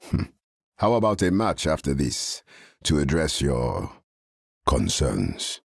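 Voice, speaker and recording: An actor playing a brooding, dangerous fighter in a video game, with a gravelly, husky voice and a curtly menacing manner. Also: male voice, very deep voice, very animated, slow, no echo, clean studio sound, exaggerated voice. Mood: cold threat. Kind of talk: dramatic video game voice acting.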